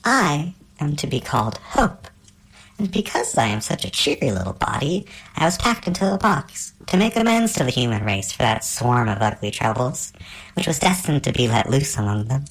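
A man's voice: medium pitch, sing-song, normal speed, creaky, fairly bright tone, reverberant, pitched above the performer's own voice, poor audio. A man voicing a tiny, cheerful winged female fairy spirit in a storybook, with a small sweet voice and a coaxing, arch lilt.

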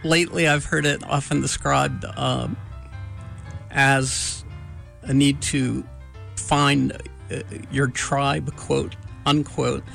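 Male host, gravelly, slow and searching delivery, light music bed. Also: mid-range voice, flat delivery, a bit bright. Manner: measured, halting with many "uh" pauses. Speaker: radio show host. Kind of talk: slow, thoughtful interview hosting.

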